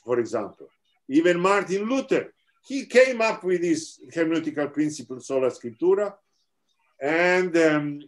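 A middle-aged man with a Serbian accent lectures on theology from a home office over a video call. His voice sits a little high, and he explains each point earnestly, often restarting a sentence mid-thought. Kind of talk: online seminar lecture.

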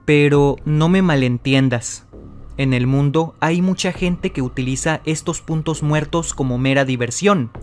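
Male mystery narrator for voice-over, dramatic and direct, a touch high-pitched. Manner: dramatic, addresses the viewer directly. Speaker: channel host narrator.

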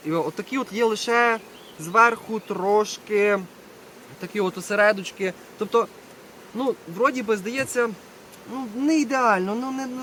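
A man's voice: high-pitched, a bit bright. A man giving a casual hands-on demonstration as a beekeeper, talking to viewers while he works and repeating short phrases. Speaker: beekeeper presenting a video. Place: outdoors at an apiary. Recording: outdoor recording with buzzing bee noise. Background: buzzing bees and insects.

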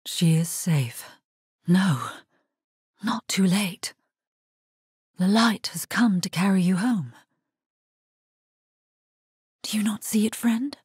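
A woman voicing a solemn, resolute necromancer in a dark fantasy video game, with a husky, breathy voice and grave delivery. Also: mid-range voice, animated, slow, long pauses, no echo, studio quality, heavily acted. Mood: gentle, sorrowful. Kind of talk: dramatic scripted game dialogue.

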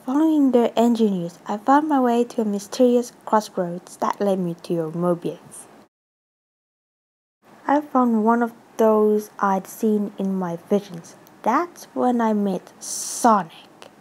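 A woman voicing a composed, serious young cat princess in an amateur comic dub, speaking in a measured, formal way. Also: mid-range voice, a little mellow, slightly rough, rough recording. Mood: solemn, reminiscing. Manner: measured, formal, deliberate delivery.

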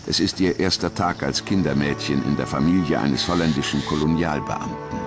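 A man narrates a documentary in a very low voice. His delivery is grave and measured, with scripted drama. Clear music plays behind him. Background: background music.

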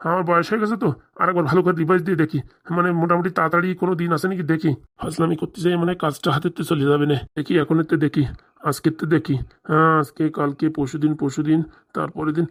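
Man voicing a rapid, rambling old village priest. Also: fairly high pitch, close to an everyday voice. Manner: rambling, repetitive, folksy. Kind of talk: comedy cartoon dialogue.

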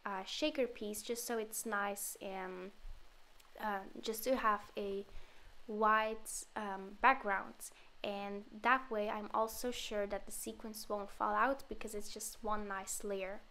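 A woman narrating a card-making tutorial in a relaxed, step-by-step way, with a slightly sore throat from a cold. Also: mid-range voice, takes it slowly. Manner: relaxed step-by-step explaining with fillers. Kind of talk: voice-over craft tutorial.

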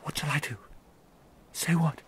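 An actor playing an obedient airy spirit servant, eager and deferential, in a Shakespearean audio play. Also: male voice, a little slow, background noise, lightly acted. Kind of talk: Shakespearean audio drama acting.

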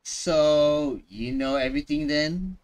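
A man's voice: a little high, slow pace, darkish tone, smooth, low-quality recording. A man streaming live reaction commentary on a game, dramatic and repeating phrases for emphasis. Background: faint game music.

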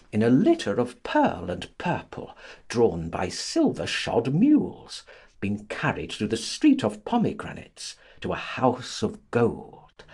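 A man narrating a literary audiobook in a theatrical, expressive, dramatic reading style. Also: on the low side, slightly rough.

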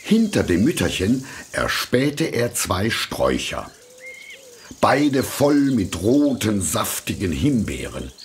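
A man narrating a fairy tale from a script in a measured, expressive storytelling manner. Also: fairly low pitch, slight background noise.

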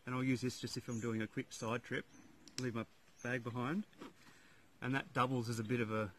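A man in his thirties shows off his lightweight hiking setup in the bush, speaking in an easygoing, practical way with frequent pauses. His voice sits at an ordinary male pitch. It comes from a camera standing a little way off, with plenty of outdoor noise around it. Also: a bit bright. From Australia. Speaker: hiker showing his gear. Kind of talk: casual gear walkthrough. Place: outdoors in eucalyptus bushland campsite. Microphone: camera on tripod a few metres away.

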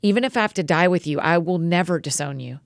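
An earnest, insistent male disciple presses his point, as a woman reads him. The voice is low with a gravelly edge. The sound is dry and close. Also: fast, no room sound, close to an everyday voice.

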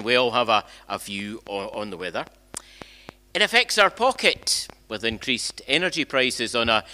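An older man delivers a conversational sermon from a church lectern. His voice is bright and forceful, with lively swings in pitch. He leans on rhetorical questions and often repeats or restarts a phrase as he goes. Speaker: minister preaching. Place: church sanctuary, indoors. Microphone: gooseneck lectern microphone.

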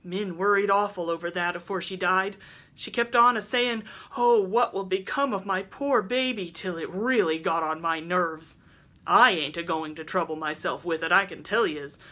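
A woman's voice: fairly low pitch, moderate pace, bright, forceful tone. Woman putting on a coarse, callous old country woman. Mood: callous, candid. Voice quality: grunting. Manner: rustic dialect, blunt and truculent.

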